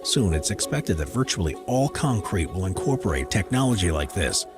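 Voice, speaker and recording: Casting: male explainer narrator, lowish pitch, animated melody, measured emphasis, music bed.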